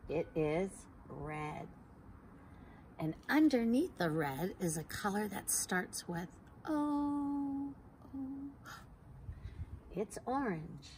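A woman in her forties reading a picture book aloud to young children outdoors, slow and sing-song, sounding out letters and gasping for effect. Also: mid-range voice, animated, a little mellow, slight background noise. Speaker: storytime reader for children. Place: backyard patio outdoors by a wooden fence.

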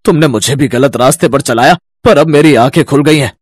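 Cartoon family patriarch, acted by a man: middle-aged, anxious, earnest, husky, dry sound. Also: medium pitch, brisk, dry recording, close to an everyday voice. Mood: defiant. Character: infatuated, anxious middle-aged father-in-law, later remorseful.

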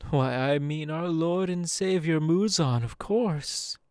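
A man voicing a suave, falsely sympathetic demon in a theatrical ASMR roleplay, smug and genteel with drawn-out pauses. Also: fairly high pitch, darkish tone, clean tone, no room sound, studio quality. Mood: coaxing.

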